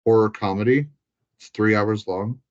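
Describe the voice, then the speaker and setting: Man, low voice, informal film chat, thinking aloud, slightly dull lo-fi recording. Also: fairly even intonation, normal speed. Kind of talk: casual chat about films.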